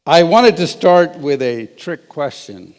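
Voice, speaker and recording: A man's voice: on the high side, sing-song, takes it slowly. A man in his sixties giving a humorous talk as a guest speaker, telling stories with self-deprecating asides. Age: 60s. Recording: long reverb of a large hall.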